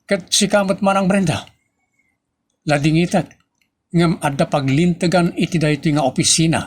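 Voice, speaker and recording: A man's voice: on the high side, moderate pace, husky. A man with a Filipino accent playing a stern, authoritative office boss who scolds his staff in a radio-style drama. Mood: firm, commanding. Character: stern male office boss, commanding and exasperated.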